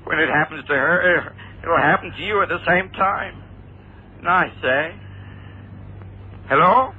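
A man's voice: a little high, slow pace. An actor in an old radio drama playing a menacing blackmailer who taunts and mocks as he speaks. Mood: mocking menace. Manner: mocking, taunting. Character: sneering, menacing male blackmailer. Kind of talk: 1960s-70s radio drama acting. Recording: tinny, noisy old phone-like radio sound.

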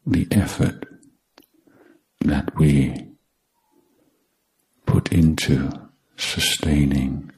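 Very deep, very slow male meditation guide. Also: darkish tone, low-quality recording. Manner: measured, deliberate, long pauses between short phrases. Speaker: meditation teacher, Buddhist monk.

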